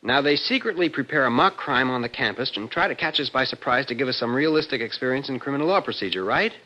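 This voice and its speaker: Bright-voiced, conceited young American student. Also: male voice, mid-range voice, lively intonation, fast, highs cut off. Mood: scheming, confident. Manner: argumentative, assertive. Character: clever, conceited younger brother, male law student. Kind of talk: old-time radio drama acting.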